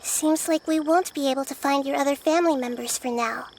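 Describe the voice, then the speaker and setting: A woman voicing a gentle, youthful goddess of wisdom who reasons things through in a soft, thoughtful way. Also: high voice, a little fast, background noise, close to an everyday voice. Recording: game audio with music. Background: game music.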